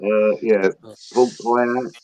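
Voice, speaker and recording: A man hosting a casual fan show, reading out viewer comments in a relaxed way between jokes. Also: fairly low pitch, drawn-out words, poor audio. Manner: relaxed, reads out chat messages between jokes. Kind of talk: casual chat.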